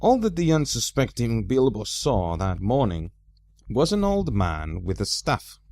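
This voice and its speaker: A man narrating a storybook aloud as an amateur reader, with expressive, deliberate phrasing. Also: medium pitch, dry recording, clean studio sound.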